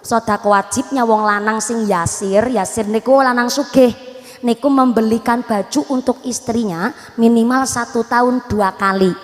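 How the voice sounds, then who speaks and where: A woman preacher with a Banyumas Javanese accent, giving a joking, lively sermon and teasing the crowd. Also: on the high side, moderate pace, big-room sound. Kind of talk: lively comic Islamic sermon.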